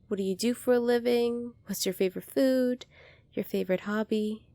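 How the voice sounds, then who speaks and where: A woman playing a gentle, earnest young alien princess in an ASMR roleplay, speaking softly and politely to the listener. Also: fairly high pitch, steady tone, moderate pace, no room sound, lightly acted. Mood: friendly, curious. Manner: soft-spoken, polite, earnest, apologetic.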